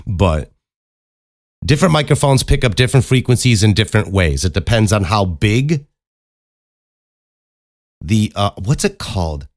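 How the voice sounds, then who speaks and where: A man with a deep voice hosts a casual solo podcast, giving advice in a blunt, emphatic way with plenty of swearing, close on a studio microphone. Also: big pitch swings, moderate pace, long pauses, no echo. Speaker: podcast host and voice actor. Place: acoustically treated home recording room. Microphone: close studio condenser microphone.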